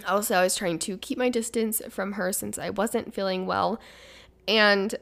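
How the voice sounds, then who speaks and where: A woman's voice: mid-range voice, fairly even intonation, normal speed, vocal fry, a bit bright. A woman hosting a casual podcast, chatty and upbeat, her voice a little stuffy as she gets over the flu. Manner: chatty and upbeat, rambling storyteller. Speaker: podcast host.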